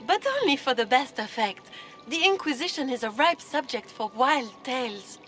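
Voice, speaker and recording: A gossipy noble party guest in a fantasy game. Her high voice is coy and playful, set over soft music. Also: expressive, moderate pace. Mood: coy curiosity.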